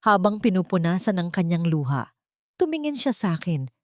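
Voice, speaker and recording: A woman narrates a dramatic first-person story in a solemn, measured, emotive way. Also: fairly low pitch, big pitch swings, dry recording, studio quality.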